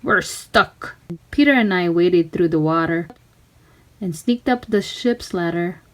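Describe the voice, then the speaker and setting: A woman narrating a children's storybook aloud in expressive, clearly separated phrases. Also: lowish voice, measured pace, poor audio. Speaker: storybook reader.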